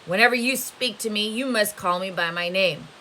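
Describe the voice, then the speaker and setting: Storybook camp boss, male played by a woman: stern, commanding, deliberate, forceful edge, slight hiss. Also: mid-range voice, natural voice. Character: gruff, stern cowboy-hatted camp boss, adult man. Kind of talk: storybook read-aloud. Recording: noticeable hiss behind the voice.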